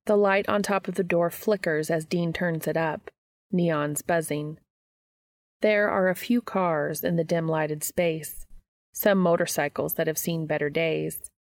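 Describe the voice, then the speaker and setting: A woman's voice: lowish voice, dry recording. A woman reading scripted fiction as a podcast host and narrator.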